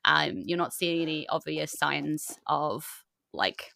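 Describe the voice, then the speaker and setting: Bright, low-pitched New Zealand woman. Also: expressive, slow pace, creaky, no room sound. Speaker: dungeon master. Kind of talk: casual improvised game narration.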